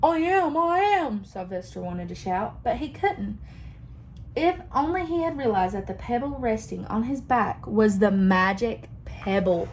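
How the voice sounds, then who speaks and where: A young woman teacher reading a picture book aloud to her class in a slow, expressive storytelling manner. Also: medium pitch, slight background noise.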